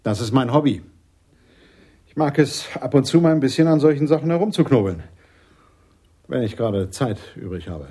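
A man's voice: medium pitch, lively intonation, moderate pace, pauses often, mellow, husky, no room sound. An actor in a German radio play as an opinionated police sergeant who explains his theories with sarcastic emphasis. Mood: smug explaining. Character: eager, opinionated police sergeant, man, theorizing about crime.

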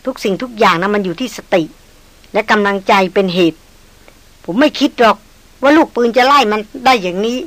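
A woman voicing a calm male jungle hunter who speaks slowly, steadily and politely in a storybook reading. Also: mid-range voice, no echo, natural voice.

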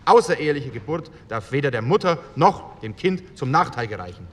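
A combative male parliamentarian, played by an actor in a radio re-enactment of a debate, with a medium-pitched voice. He is formal and sharp-tongued, with faint ambient noise around him. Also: very animated, brisk, close to an everyday voice. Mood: formal, firm.

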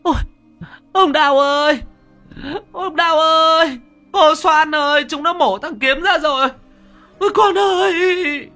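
A man's voice: very high voice, a little slow, pushed and bright, soft background music, voice pushed up, full character voice. A man voicing a distraught mother, pleading and wailing shrilly and breathlessly in a horror story. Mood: screaming panic.

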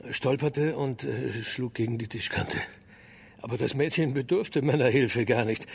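An actor playing a hearty, roguish soldier with an eye for women in a historical crime radio drama. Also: male voice, medium pitch, unhurried, gravelly, breathy edge. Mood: sheepish, amused.

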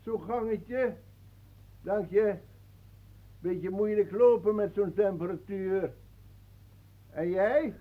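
An actor playing a boastful old former boxer in a Dutch radio play, chatty and clipped. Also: male voice, high voice, slow, pauses often, narrow, tinny audio. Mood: casual. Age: older. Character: boastful old former boxer, proud of his big bones.

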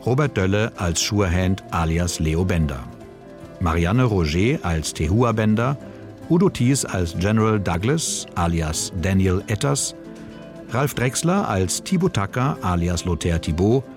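A man's voice: low voice, lively intonation, measured pace, darkish tone, faint music behind. A man announcing the title and cast of a radio drama in a scripted style.

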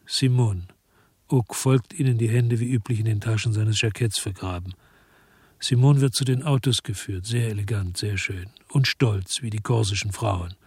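A man's voice: mid-range voice, breathy edge, no room sound. A weary, hard-boiled man tells his story. The delivery is terse and laconic, recorded close and dry like noir radio drama. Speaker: first-person narrator and lead actor. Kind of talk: German radio drama narration and dialogue.

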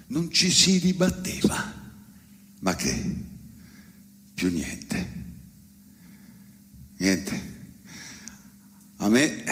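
A man delivering an ironic, emphatic theatrical monologue with dramatic pauses. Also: lowish voice. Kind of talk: theatrical spoken monologue on stage. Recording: long hall reverb.

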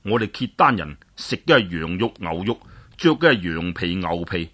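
A man doing a weary gruff warrior. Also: very animated, normal speed, fairly bright tone, exaggerated voice. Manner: low, sighing, plain-spoken. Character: weary tough warrior man, gruff but soft-hearted.

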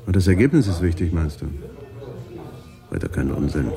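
An actor playing a dry, stoical police detective in a German radio crime drama, speaking tersely. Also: male voice, low voice, sing-song, normal speed, gravelly, music in the background, full character voice. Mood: dry, resigned.

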